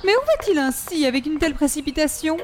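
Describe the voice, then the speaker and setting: A woman's voice: sits high, fast talker, background noise, close to an everyday voice. A woman playing a fussy, genteel lady in a radio comedy, speaking in an effusive, precious and formal way. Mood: fussy sympathy. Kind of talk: old-time radio comedy acting.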